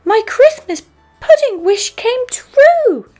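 A woman voicing an earnest, excitable little girl pig with a childlike manner in a storybook. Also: takes it slowly, breathy edge, faint music behind, heavily acted. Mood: overjoyed. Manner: high, childlike, exclamatory.

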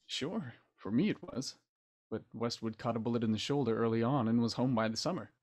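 A man's voice: medium pitch, a little fast, a little mellow, lightly acted. A man playing a genial, nostalgic Victorian doctor and war veteran with old-fashioned phrasing, in a radio play. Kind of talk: radio play acting over video call.

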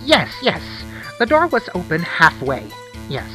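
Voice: medium pitch, huge pitch swings, measured pace, pushed and bright, faint music behind, heavily acted. A performer with a woman's-sounding voice playing a shifty, wordy salesman giving theatrical testimony in a game fan dub. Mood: nervous, evasive.

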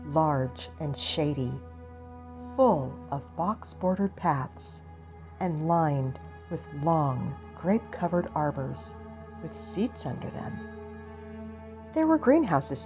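A woman's voice: low-pitched, darkish tone. A woman reading a first-person literary story aloud as a dramatic audiobook narration. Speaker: audiobook reader. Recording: dull lo-fi, music behind. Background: music.

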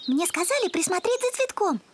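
Naive, curious small creature boy for children's animation: tiny, very high-pitched, animated and earnest. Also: a little fast, slight background noise. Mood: naive, puzzled. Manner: naive, gentle, earnest questioning. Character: sweet, innocent, curious little fuzzy moon-born creature boy. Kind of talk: children's cartoon acting.

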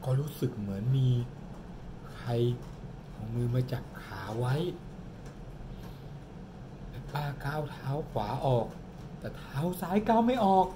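A man's voice: mid-range voice, background noise. Man spinning a spooky tale at home. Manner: animated, dramatic storyteller who slows down for suspense. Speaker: father telling a bedtime-style ghost story to his daughter. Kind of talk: improvised storytelling. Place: home living room, indoors. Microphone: camera on the table in front, close.

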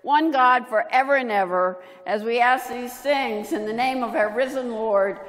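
An older woman, a pastor, reading prayers and liturgy in a solemn, measured manner, phrase by phrase, at a church lectern. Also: on the high side, a bit bright. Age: older, 70s. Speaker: pastor leading worship. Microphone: gooseneck lectern microphone and clip-on. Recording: reverberant church hall.

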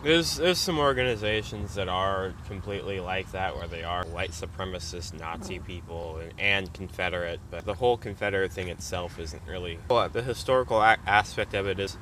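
A man's voice: low voice, a little fast, few pauses, sharp tone. A young man chatting casually in a blunt, exaggerating way. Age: young adult. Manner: blunt, sweary, hyperbolic. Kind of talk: casual chat. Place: outdoors by a fence near a drive-through. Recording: clear traffic noise outdoors.

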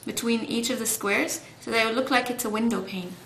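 A woman's voice: on the high side, a little fast, fairly bright tone. A woman in her thirties, encouraging as she gives casual step-by-step craft instructions at a table at home. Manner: encouraging, praising. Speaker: craft tutorial host and mother. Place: indoors at a home dining table. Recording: roomy echo, clear background noise and clicks.

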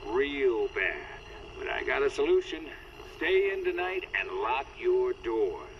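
A folksy late-night radio host. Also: male voice, mid-range voice, unhurried. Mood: wry. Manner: lively, chatty broadcaster patter. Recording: distant lo-fi radio over loud noise.

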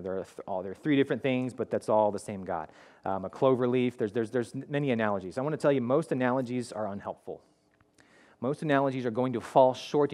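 A man in his thirties preaching a sermon in a school hall, talking in a conversational, animated way with many restarts, on a headset mic. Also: medium pitch. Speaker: pastor. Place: school hall with curtained stage.

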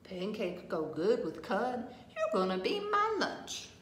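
A woman's voice: mid-range voice, wildly swooping pitch, takes it slowly, a bit bright, full character voice. A woman voicing a hungry storybook cow who moos and threatens playfully. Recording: roomy echo, some background noise.

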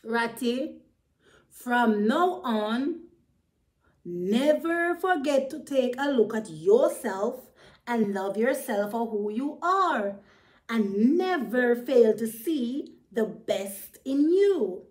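A woman's voice: fairly high pitch, lively intonation, drawn-out words, a little mellow. A woman voicing a frank, caring bird in a children's storybook, admonishing and encouraging a friend with emphasis.

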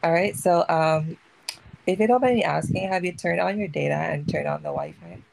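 A woman who works in chat support, speaking softly and politely in a calming customer-service manner as a guest on a video call. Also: on the low side, normal speed, creaky, slight background noise, low-quality recording. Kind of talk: casual live stream chat.

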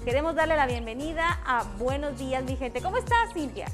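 A woman's voice: high-pitched, expressive, a little fast, music in the background. A woman hosting a morning TV show, asking interview questions with upbeat enthusiasm. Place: TV studio.

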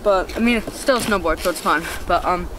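A man's voice: sits high, a little fast. A young man vlogging casually outdoors in a snowy yard, rambling with plenty of ums and likes, recorded on a camera mic. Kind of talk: casual outdoor vlog narration. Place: snowy backyard hillside outdoors. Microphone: camera mic, handheld or far camera. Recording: noisy outdoor camera audio.

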